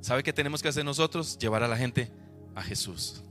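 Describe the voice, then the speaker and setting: A man's voice: medium pitch, lively intonation, brisk, bright, forceful tone, faint music behind. A man with a Costa Rican accent preaching a sermon to his congregation, emphatic and full of rhetorical questions. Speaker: pastor.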